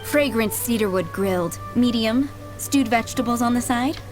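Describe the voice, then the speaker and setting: A woman voicing a kindly, middle-aged head maid in a video game, polite, doting and gently chatty. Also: a little high, normal speed, close to an everyday voice. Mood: helpful, polite. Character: kindly motherly head maid, fondly remembering boys she raised. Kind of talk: video game character acting. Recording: background music under the voice.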